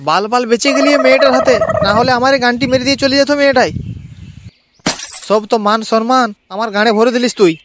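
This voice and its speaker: A man's voice: very high voice, fast, background noise. A man voicing an irate, foul-mouthed village council chief in a comic cartoon, berating and bossy, with a rural West Bengal accent. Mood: furious.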